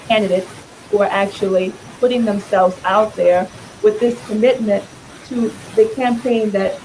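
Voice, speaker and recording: A hoarse, raspy-voiced woman speaking to a room as a political candidate. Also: mid-range voice, a little hiss or hum, rough recording. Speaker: congressional candidate. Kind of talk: campaign speech.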